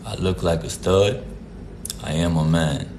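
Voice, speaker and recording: A man's voice: low-pitched, unhurried, noisy background. A man from Jamaica hosting a casual live stream, greeting viewers by name in a laid-back, repetitive way. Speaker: livestream host.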